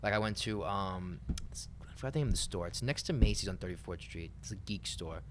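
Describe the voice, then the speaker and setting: Young american dude, talks super fast, kinda low voice, casual podcast chat. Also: male voice, noisy background. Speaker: podcast co-host. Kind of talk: casual chat about video games and pop culture.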